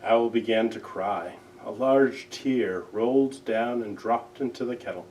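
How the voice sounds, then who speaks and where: Slow, expressive male storyteller, slightly distant. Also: medium pitch, slight background noise. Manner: gentle, measured, expressive storytelling. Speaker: library director reading aloud. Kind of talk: children's storybook narration.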